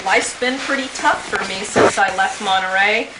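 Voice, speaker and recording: A woman's voice: medium pitch, normal speed. A woman reading out joke birthday messages to guests at a home party. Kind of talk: comic reading aloud at a party. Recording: very noisy, echoey amateur home video.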